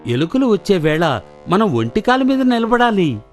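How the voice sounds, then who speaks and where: A woman's voice: mid-range voice, big pitch swings, moderate pace, soft background music, lightly acted. Sly, falsely pious cartoon fox played by a woman. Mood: scheming. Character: sly, hungry fox posing as a pious holy one. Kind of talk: children's cartoon story acting.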